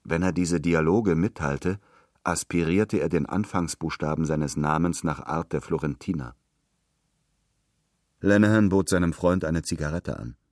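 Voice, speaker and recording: A man's voice: sits low, a little slow, a little mellow, dry recording, studio quality. A man reading literary prose as a narrator, in a scripted storytelling style.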